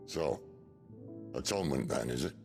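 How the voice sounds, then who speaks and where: An actor playing a wry, world-weary outlaw leader in a video game, with a gravelly voice. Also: male voice, rumbling low, animated, music in the background. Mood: amused, roguish. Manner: wry, unhurried. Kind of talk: dramatic game cutscene acting.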